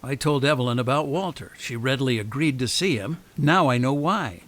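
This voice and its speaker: An actor playing a self-assured, probing lawyer who confronts suspects in a radio drama. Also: male voice, mid-range voice, a bit bright, close to an everyday voice. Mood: accusing, firm. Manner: deliberate, pressing, self-assured.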